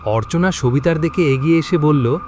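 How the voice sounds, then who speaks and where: A man's voice: fairly high pitch, normal speed, a little mellow, slight background noise. A man narrating a scripted horror story in a dramatic storytelling style.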